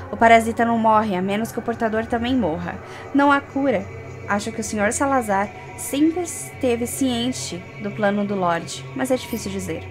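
Young Brazilian woman, game music behind. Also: fairly high pitch. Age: young adult. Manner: playful, talks to the game character and viewers. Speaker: gaming streamer. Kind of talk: casual gameplay commentary.

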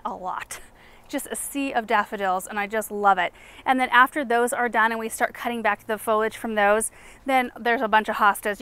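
A woman in her thirties talks enthusiastically as she walks a garden tour outdoors. Her voice is bright and smooth, a touch higher than average. She sounds relaxed and conversational, pointing things out as she goes. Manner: chatty and enthusiastic, walking and pointing at plants. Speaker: garden show host. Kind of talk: casual garden tour vlog.

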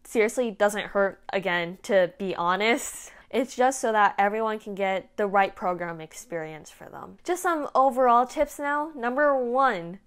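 A woman's voice: mid-range voice, measured pace, hardly any pauses, a bit bright, smooth. A young woman in her late teens giving casual, frank advice to camera as a student vlogger. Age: late teens, high school student. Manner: informal, frank, peer-to-peer. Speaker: vlogger giving application tips. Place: small indoor room at home. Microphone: clip-on lapel mic.

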